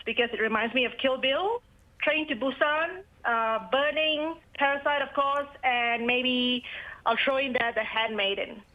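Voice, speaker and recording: A woman from Malaysia answering relaxed questions as a guest on a news program. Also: fairly high pitch, dull audio. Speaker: guest commentator. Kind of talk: live news interview discussion.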